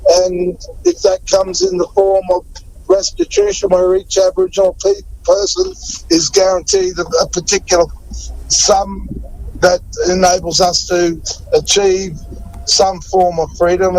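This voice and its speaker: Fervent high-pitched gravelly man. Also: a little mellow, slight background noise, low-quality recording. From Australia. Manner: fervent, repetitive emphasis. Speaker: activist guest. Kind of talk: impassioned interview answers.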